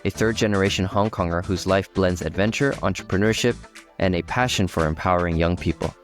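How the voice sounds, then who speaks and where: Deep-voiced male podcast host. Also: brisk, soft background music. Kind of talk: scripted intro then casual interview.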